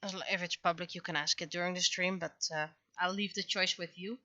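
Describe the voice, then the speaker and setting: A woman from the Netherlands, chatting casually and haltingly on a livestream as she draws, thinking aloud. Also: on the low side, steady tone, sharp tone, no echo, highs cut off. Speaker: livestreaming webcomic artist. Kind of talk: casual livestream chat while drawing.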